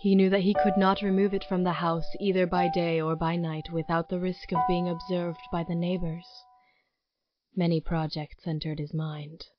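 A woman narrator reading a scripted dramatic story in a formal, deliberate storytelling manner. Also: lowish voice, flat delivery, lo-fi sound, soft background music.

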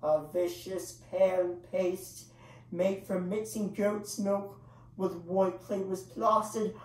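A woman performing a dramatic monologue as a grandiloquent old English male clown, pausing for effect as he tells his bittersweet tale. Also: medium pitch, steady tone, lightly acted. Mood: wry reminiscence. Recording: echoey room, distant microphone.

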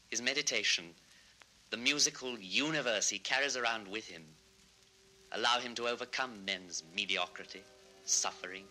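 A man's voice: mid-range voice, pushed and bright, low-quality recording. A man playing an ardent young composer and admiring disciple in a vintage children's record drama, earnest and enthusiastic. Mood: reverent. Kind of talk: 1960s children's record radio-play acting.

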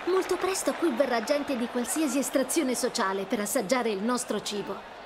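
A kind, hopeful young heroine acted by a woman, with a high voice. She is an earnest, hardworking cartoon character, heard over a crowd and street ambience. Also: moderate pace, background noise, natural voice. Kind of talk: animated game dub.

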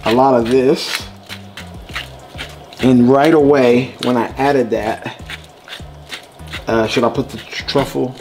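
A man's voice: medium pitch, steady tone, slow pace, long pauses, a little mellow, faint music behind. Guy in his 30s cohosting a home cooking vid, chill and jokey, lapel mic, kitchen. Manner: upbeat, easygoing, jokey. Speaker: cooking show co-host. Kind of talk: casual cooking show chat.

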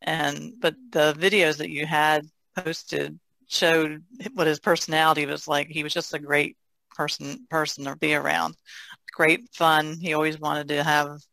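A woman speaking as a podcast guest in casual conversation, halting and reflective. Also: sits low, flat delivery, creaky, a bit bright, no echo, rough recording.

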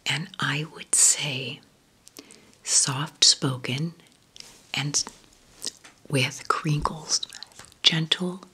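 A woman whispering gently through an ASMR question-and-answer video, talking fondly and slowly with soft fillers. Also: deep voice, steady tone, a little hiss or hum. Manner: slow, gentle, affectionate rambling with fillers. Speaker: ASMR creator.

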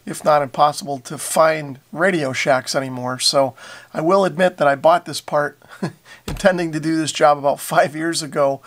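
A man's voice: fairly high pitch, moderate pace, a bit bright. A man in his forties giving casual how-to narration as a repair channel host.

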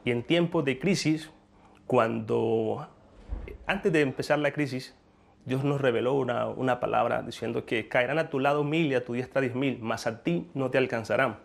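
A man's voice: medium pitch, normal speed, gravelly. A man, giving an earnest, faith-filled interview testimony. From Colombia. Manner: earnest, faith-laden, with restarts. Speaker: business owner interviewee. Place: indoor room before a world map.

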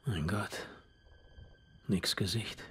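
An actor playing a weary, earnest army squad leader in a dubbed war game, shifting between command and brooding monologue. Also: male voice, on the low side, drawn-out words, a bit bright, husky, full character voice. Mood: hushed, brooding. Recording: faint background noise.

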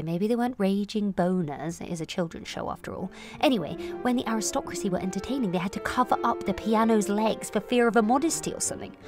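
A woman in her late twenties performing a confessional comic monologue in a candid, wry, self-questioning way, moving between confiding and animated. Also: mid-range voice. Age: late 20s. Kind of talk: confessional comic audio monologue, present-tense narration.

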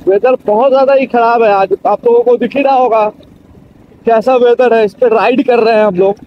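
A man's voice: extremely high pitch, steady tone, moderate pace, lo-fi sound, a little hiss or hum. A young man giving casual motovlog commentary from his bike, talking directly to viewers and repeating himself. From Bihar, India. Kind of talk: casual vlog commentary while riding. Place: outdoors on a motorbike on town roads.

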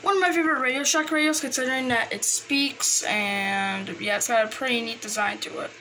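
A woman casually showing off a hobby collection, halting and trailing off, recorded on a handheld phone indoors. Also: on the high side, fairly even intonation, sharp tone. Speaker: video host showing a personal radio collection. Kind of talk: casual hobby show-and-tell. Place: small cluttered room indoors. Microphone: handheld phone camera. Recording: clicky, noisy handheld home recording.

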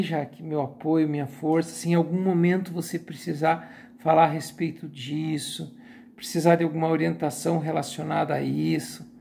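A man in his forties with a Brazilian accent, sitting on a sofa and talking warmly and supportively into his phone in a casual live stream. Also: a little high, gravelly, a little hiss or hum. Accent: Brazilian Portuguese. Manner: warm supportive, many filler tags. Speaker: YouTube channel host and moderator. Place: living room sofa, indoors. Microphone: phone camera held close.

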